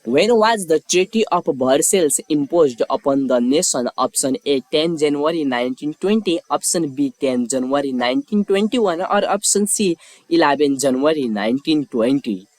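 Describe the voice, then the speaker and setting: A man hosting a quiz, reading scripted questions and lettered options in a measured, formulaic way. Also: on the high side, expressive, a little mellow, no room sound, rough recording. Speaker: quiz host.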